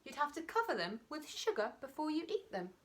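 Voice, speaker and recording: A woman's voice: sits high, very animated, fairly quick, pitched above the performer's own voice. A woman voicing a smaller, blunt, contrary crocodile in a children's story, with emphatic, mocking retorts. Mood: blunt, disagreeing.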